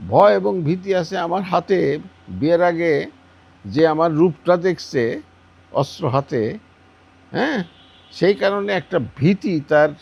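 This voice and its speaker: A man's voice: a little high, expressive, measured pace, gravelly, long pauses, darkish tone, husky. An older man with a Bangladeshi accent, recounting personal memories in conversation, emphatically repeating phrases for stress. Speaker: interviewee, former armed fighter. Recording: dull, slightly muffled sound.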